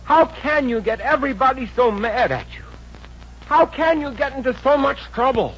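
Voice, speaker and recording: An actor playing an earnest, excitable young fiancé in a 1950s radio comedy, with a boyish manner. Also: male voice, very high-pitched, moderate pace, full character voice. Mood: exasperated. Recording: dull, noisy old radio recording.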